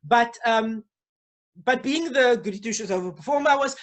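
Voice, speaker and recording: A young man, a guest in a casual video call chat, speaking deliberately and repeating words before making his point. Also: very high-pitched, a bit bright. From South Africa. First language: Afrikaans as mother tongue, English first language. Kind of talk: casual conversation. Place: small indoor room.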